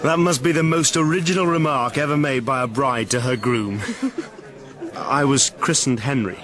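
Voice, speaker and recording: An actor playing an ardent young army officer in a radio drama, boyish, affectionate and good-humoured. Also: male voice, mid-range voice, normal speed, close to an everyday voice. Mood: amorous, amused. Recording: crowd chatter behind.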